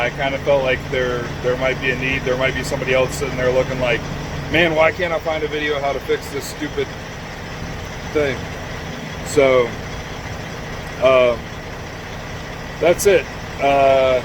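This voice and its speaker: A man of average pitch talks through a casual DIY how-to. His delivery is halting and rambling, with a plain-spoken, self-deprecating manner. The recording is noisy, made outdoors around a truck. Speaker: amateur DIY video maker showing his truck radio setup. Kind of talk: casual unscripted how-to vlog.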